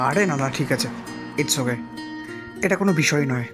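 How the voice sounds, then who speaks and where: A man's voice: fairly high pitch, lively intonation, normal speed, natural voice. A man acting a polite, easygoing college student who tells his own love story in an audio drama, mixing in English phrases. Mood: friendly, apologetic. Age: young adult. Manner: casual, mixes English phrases into speech. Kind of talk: Bengali audio story acting and first-person narration. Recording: music under the voice.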